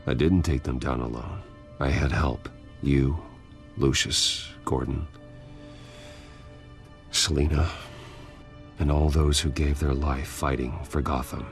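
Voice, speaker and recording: An actor playing a brooding, resolute vigilante in a video game, earnest and understated. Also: male voice, rumbling low, fairly even intonation, takes it slowly, faint music behind, exaggerated voice. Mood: reflective. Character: brooding wealthy male vigilante, guilt-ridden and resolute. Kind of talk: dramatic video game acting.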